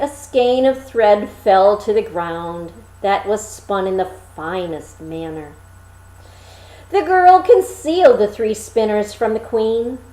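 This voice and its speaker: A woman reading a fairy tale aloud as expressive storybook narration, with dramatic, deliberate phrasing. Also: mid-range voice, reverberant, poor audio.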